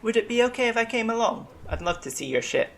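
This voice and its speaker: An actor playing a calm, empathetic alien colony doctor in a science-fiction audio drama, speaking in a gentle, reassuring way. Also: mid-range voice, quick pace, vocal fry. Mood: eager.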